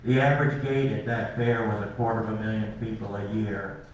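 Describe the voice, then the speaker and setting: A man's voice: lowish voice, flat delivery, fairly quick. An older man, a cattle farmer, giving a rambling, folksy talk to an audience at a meeting. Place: large meeting hall. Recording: echoey hall from far off, some noise.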